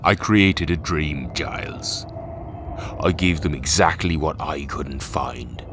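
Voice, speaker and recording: A man playing a calm, philosophical cult leader and grieving widower in an audio drama, speaking in a measured, eloquent way. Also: extremely low pitch. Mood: self-assured. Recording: clear background noise and ambience.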